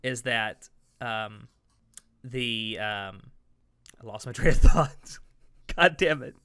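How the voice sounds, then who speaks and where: A man's voice: medium pitch, lively intonation, takes it slowly, bright, forceful tone, clear, resonant tone. A man hosting a podcast in a casual, enthusiastic and opinionated way. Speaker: podcast host.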